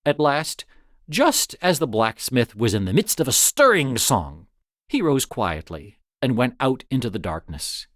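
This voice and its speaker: A man reading an old tale as scripted narration, in a dramatic, expressive storytelling manner. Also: medium pitch, unhurried, no echo, clean studio sound. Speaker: story reader.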